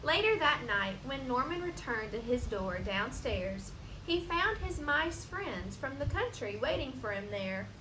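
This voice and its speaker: A woman reading a children's storybook aloud expressively, pausing for asides and questions to young listeners. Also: high-pitched, big pitch swings. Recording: roomy echo, noticeable background hiss.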